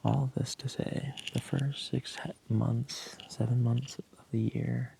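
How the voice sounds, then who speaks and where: A young man talking candidly to his camera in a loose, soft-voiced way, swearing often and laughing at himself. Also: medium pitch, little pitch movement, takes it slowly, vocal fry, a little hiss or hum, poor audio. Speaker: vlogger. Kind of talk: casual reflective talk to camera.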